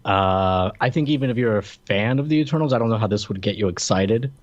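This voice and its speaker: A man's voice: lowish voice, a little fast, no echo. A man co-hosting a podcast, giving casual, slangy movie commentary in a conversational way. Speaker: podcast co-host. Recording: dry, slightly dull lo-fi mic.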